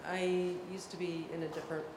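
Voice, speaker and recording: A woman in the audience asking a question in a halting, hesitant way. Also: on the low side, flat delivery. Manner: halting, hesitant, many restarts. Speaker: audience member. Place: large hall at a public event. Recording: echoey hall, audible room noise.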